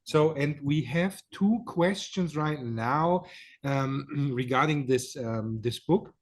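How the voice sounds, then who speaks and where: A man with a slightly higher voice who speaks slowly and deliberately. He is the stream moderator, politely cutting in to give a quick update. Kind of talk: brief moderation announcement.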